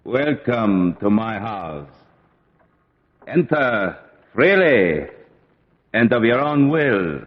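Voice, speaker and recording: Ancient vampire aristocrat, very slow delivery, low voice, formal, menacing courtesy, vintage radio drama, dull recording. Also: male voice, very animated, exaggerated voice. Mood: courtly. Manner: formal, grandiose, deliberate. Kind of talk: old-time radio drama acting.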